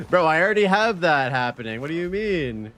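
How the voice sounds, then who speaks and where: A man in his thirties streaming a video game live, chatting casually with his viewers and reacting excitedly with slang and swearing. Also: on the high side, moderate pace. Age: 30s. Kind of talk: casual live-stream chat while gaming.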